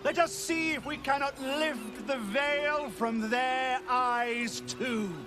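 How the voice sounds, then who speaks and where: An actor playing a zealous, theatrical cult preacher in a video game, declaiming pompously like an orator. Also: male voice, very high-pitched, slow pace, over music. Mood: menacing, mock-gracious. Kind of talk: scripted video game acting.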